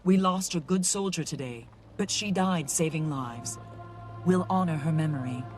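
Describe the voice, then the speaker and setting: A man's voice: a little high, normal speed, music in the background, natural voice. An actor playing a solemn, consoling male military officer in a video game.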